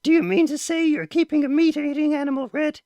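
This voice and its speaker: A man voicing a fretful, high-pitched mother. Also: brisk, dark tone, dry recording. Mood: anxious. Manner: high, anxious and scolding. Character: worried mother, fussy and protective.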